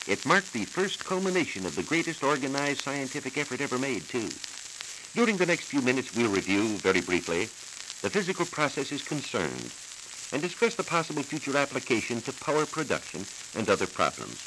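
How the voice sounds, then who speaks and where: An American man working as a science reporter, giving a formal, measured, scripted explanation in 1940s radio style. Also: medium pitch, very animated. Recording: hissy, crackly 1940s transcription disc.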